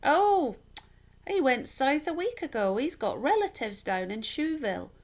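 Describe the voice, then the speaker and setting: A chatty townswoman from a storybook, put on by a woman reader. The voice is pitched up high, brisk and matter-of-fact, like passing on local gossip. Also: sing-song, a little mellow, full character voice. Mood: brisk, informative.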